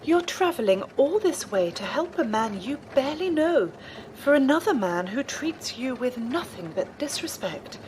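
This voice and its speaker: Woman acting high-voiced, earnest nun. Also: sing-song, moderate pace, a little mellow, slight background noise, rough recording. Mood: sincere, admiring. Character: earnest, gently chiding nun, loyal companion. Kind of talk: scripted audio drama acting.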